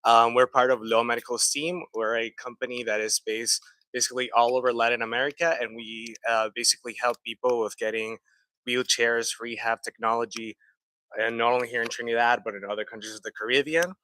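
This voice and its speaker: A man's voice: medium pitch, steady tone, brisk, bright, forceful tone, no room sound, low-quality recording. A man working as a sales representative, introducing his company and team on a handheld mic, a little hesitant with frequent fillers. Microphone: handheld microphone.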